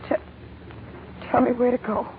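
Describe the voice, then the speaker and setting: An actress playing a frightened but guarded young woman in an old-time radio melodrama, pleading earnestly and haltingly. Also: fairly high pitch, flat delivery. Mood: pleading, scared. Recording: tinny, band-limited old radio recording.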